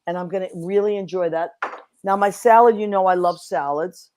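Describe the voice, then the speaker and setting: A woman's voice: fairly low pitch, moderate pace, a little mellow, slight background noise. Bubbly New woman hosting. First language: Italian. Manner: bubbly, effusive. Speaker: host. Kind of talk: casual interview chat.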